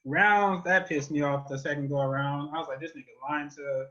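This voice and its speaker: High, sharp-voiced American man, exasperated. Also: moderate pace, lo-fi sound. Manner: animated, exasperated, slangy storytelling. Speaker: podcast co-host reviewing albums. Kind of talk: casual profane banter, album review.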